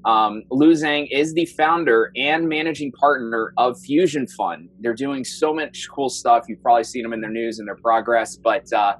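Upbeat guy hosting a health podcast, kinda husky, mid pitch. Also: moderate pace, few pauses, low-quality recording. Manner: enthusiastic, friendly with filler ums. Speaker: podcast host. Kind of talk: casual interview hosting.

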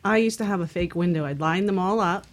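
A woman's voice: on the low side, fast talker, a crowd in the background. A woman chatting casually and frankly on a talk panel, candid and earthy as she shares anecdotes.

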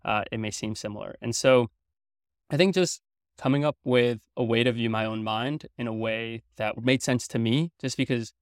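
A man's voice: medium pitch, moderate pace, no room sound, studio quality. A young man from Belgium, a native French speaker, talking as a guest in a casual interview chat, earnest and explanatory, with a casual manner full of fillers and restarts.

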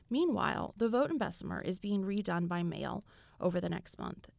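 A woman reading a scripted radio news report in a crisp, measured way. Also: mid-range voice, dry recording.

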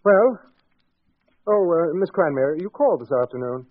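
A man's voice: fairly high pitch, sing-song, moderate pace, no room sound. An actor playing a timid, smitten country doctor with murder on his mind, hesitant and polite, in 1940s radio style. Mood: courteous, attentive. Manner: hesitant, polite, swinging from brooding to eager. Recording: muffled vintage 1940s radio broadcast with music.

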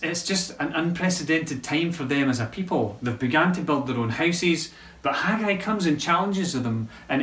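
Pastor preaching in an echoey room. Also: male voice, medium pitch, a bit bright, far from the mic. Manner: conversational, halting with repeated restarts. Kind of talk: sermon.

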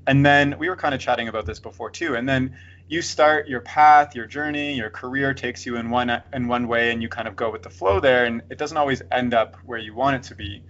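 Relaxed man interviewing, lo-fi sound. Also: medium pitch, brisk. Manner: relaxed, uses "like" a lot, rambling questions. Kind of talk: conversational interview.

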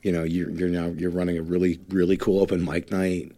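Deep-voiced rambling host. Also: male voice, little pitch movement, a little fast, warm tone. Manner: rambling, heavy on fillers like "you know". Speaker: podcast host. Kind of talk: casual podcast chat.

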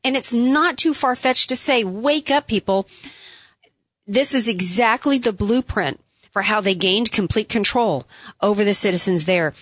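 A woman hosting a political talk radio show, delivering an opinionated, emphatic monologue with sarcastic asides while her voice is going hoarse. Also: medium pitch, unhurried, a bit bright, dry recording. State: losing her voice, has a cough drop in.

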